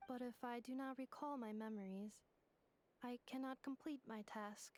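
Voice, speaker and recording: A woman voicing a polite, literal-minded female robot in a video game, with formal, clinical, measured phrasing. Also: fairly high pitch, steady tone, a little mellow, slight background noise, close to an everyday voice. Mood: subdued.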